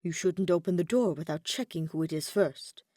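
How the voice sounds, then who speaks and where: A woman's voice: lowish voice, normal speed, mellow, no room sound, close to an everyday voice. A woman voicing a cold, sardonic young retainer in an audiobook story, with a clipped, dry, scolding manner. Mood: chiding.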